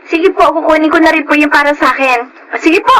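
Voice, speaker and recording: An actress playing a resentful, outspoken daughter in a Filipino radio drama, blunt and reproachful. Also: female voice, high-pitched, a little fast, a bit bright, a little hiss or hum, low-quality recording. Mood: firm, polite.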